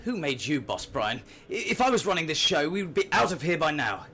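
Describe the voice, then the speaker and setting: A man's voice: on the high side, big pitch swings, a little fast, bright, forceful tone, a little hiss or hum, exaggerated voice. A man playing an arrogant, selfish rich husband in a radio drama, pompous and domineering. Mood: haughty, impatient.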